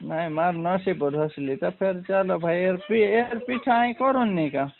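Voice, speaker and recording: High-pitched creaky man on a phone. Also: normal speed. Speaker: prank call participant. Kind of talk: casual phone conversation. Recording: narrow phone line with faint noise.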